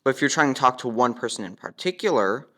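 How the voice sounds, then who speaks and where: A man's voice: mid-range voice, lively intonation, quick pace, sharp tone. A young man giving a casual, matter-of-fact walkthrough as he demonstrates a product.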